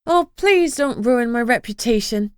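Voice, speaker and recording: A woman's voice: a little high, animated, unhurried, clean tone, no room sound, studio quality, pitched above the performer's own voice. A woman voicing a female sentient house who talks in a playful, pouting way. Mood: teasing. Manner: playful, pouty. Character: sassy female sentient house, proud of menace.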